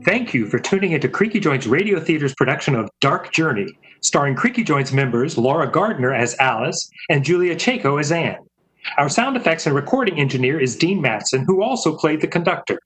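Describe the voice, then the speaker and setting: A man with a husky voice hosting radio theater, reading a scripted announcement. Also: fairly high pitch, big pitch swings, a little fast, a bit bright, low-quality recording. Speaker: host.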